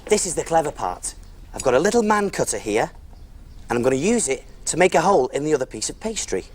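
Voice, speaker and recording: A man's voice: on the high side, very animated, fast. A man playing a friendly big cook who presents simple step-by-step cooking on a preschool show, in an encouraging way. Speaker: children's cookery presenter.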